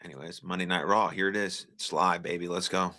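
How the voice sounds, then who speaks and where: A young American man with a low voice and a bright, forceful edge hosts a live stream. He chats casually and encouragingly with his viewers, filling pauses with plenty of "uh"s. The delivery stays loose and unscripted. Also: steady tone. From United States. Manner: chatty, encouraging, frequent filler words. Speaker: livestream host.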